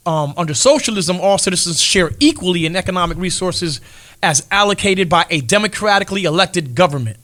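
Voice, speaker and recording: A man co-hosting a faith podcast, explaining in a measured way with firm opinions. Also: high voice, expressive, a bit bright. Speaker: podcast co-host.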